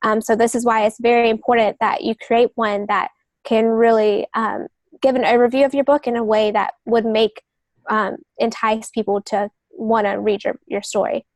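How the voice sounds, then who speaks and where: Female podcast guest expert, explanatory and conversational. Higher pitch, little melodic movement. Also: little pitch movement, normal speed, no room sound. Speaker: guest expert, novelist and agent.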